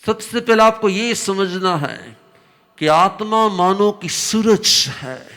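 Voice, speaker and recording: A man teaching a religious discourse, his voice slightly hoarse, explaining slowly with repetition and emphatic rises. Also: high voice, animated, a bit bright. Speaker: Jain spiritual teacher.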